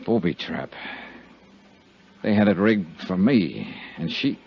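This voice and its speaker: A man's voice: low voice, expressive, takes it slowly, slight background noise, full character voice. An American actor playing a tough, world-weary insurance investigator in a 1950s radio drama, telling his story in terse, clipped phrases. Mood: grim. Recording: dull 1950s radio recording with music.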